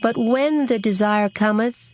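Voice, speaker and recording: A woman's voice: a little high, measured pace. A woman reciting Bible verses in a formal, theatrical dramatized style. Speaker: scripture reader. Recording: music behind the voice. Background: background music.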